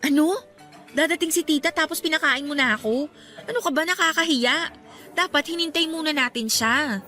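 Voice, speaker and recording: An actress playing a bashful, polite young girlfriend in a radio drama, with soft music underneath. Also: high-pitched, normal speed. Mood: flustered. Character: shy, good-natured young girlfriend.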